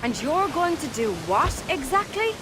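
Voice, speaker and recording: An actress playing a bold, skeptical pirate shipmate, forthright and questioning, in a dramatic game scene. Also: female voice, sits high, very animated, a little slow, noisy background. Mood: challenging. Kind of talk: dramatic video game acting.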